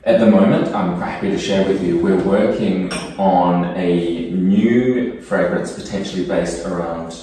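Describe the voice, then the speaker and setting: A man's voice: low-pitched, measured pace, few pauses, warm tone. A young man who grew up speaking Cantonese, talking thoughtfully and with enthusiasm as a perfume brand co-founder in an office interview. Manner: thoughtful, enthusiastic, some restarts. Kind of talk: conversational interview. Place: office room. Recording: echoey room, distant mic.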